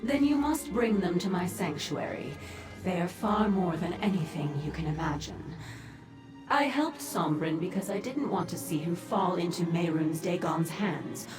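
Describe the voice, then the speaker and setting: A composed demon woman speaks in a low register with heavy echo. She is formal, measured and imperious, a fantasy game figure offering sanctuary, with music behind her. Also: expressive. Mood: composed, commanding. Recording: echoing reverberant voice over music.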